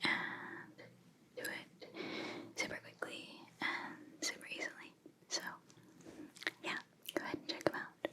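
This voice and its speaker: A woman hosting an ASMR video, whispering a casual, soft sponsor message to viewers. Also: a little slow, pauses often. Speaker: ASMR creator, host.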